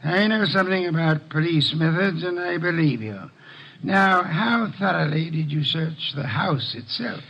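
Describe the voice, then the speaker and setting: An actor playing a shrewd, unruffled older detective in an old radio drama, speaking formally and with dry amusement. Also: male voice, fairly high pitch, lively intonation. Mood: grave, courteous. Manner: formal, measured, drily amused. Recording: muffled old radio broadcast recording.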